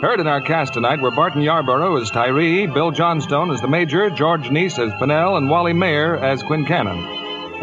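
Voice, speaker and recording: A man working as a formal radio announcer in 1950s style, reading scripted copy with a salesman's delivery. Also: fairly high pitch. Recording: dull vintage radio broadcast, music behind.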